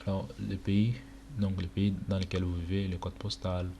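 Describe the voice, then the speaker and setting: Young male tutor from Chad, first language Arabic, deep flat delivery, halting and casual, noisy home recording. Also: vocal fry. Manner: casual, halting, many fillers. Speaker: tutorial presenter. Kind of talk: screen-recorded walkthrough. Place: indoor room at a computer.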